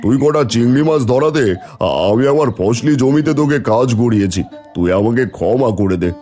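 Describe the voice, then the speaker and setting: A man's voice: mid-range voice, expressive, brisk, mellow, soft background music, lightly acted. A man playing a commanding village landowner and emotional father in a cartoon folk tale, speaking dramatically. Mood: remorseful. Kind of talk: Bengali cartoon fairy tale dubbing.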